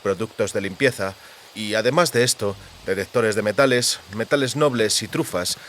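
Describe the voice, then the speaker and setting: A man's voice: medium pitch, fairly bright tone, slight background noise. A man reading literary fiction as a scripted audiobook narration.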